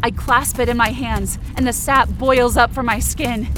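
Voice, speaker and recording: A woman's voice: a little high, steady tone, moderate pace, soft background music. A woman narrating first-person horror fiction in the present tense, with dramatic, urgent storytelling. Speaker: podcast writer and performer. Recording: loud sound effects and noise behind.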